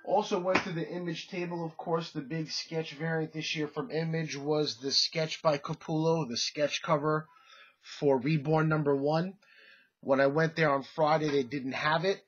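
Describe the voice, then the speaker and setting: Casting: stuffy-nosed guy, husky voice, rambling casual collector vlog, dull lo-fi sound. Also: a little high, fairly even intonation, normal speed. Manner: rambling, many fillers and restarts. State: congested, under the weather. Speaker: comic collecting vlogger. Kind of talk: casual show-and-tell haul video.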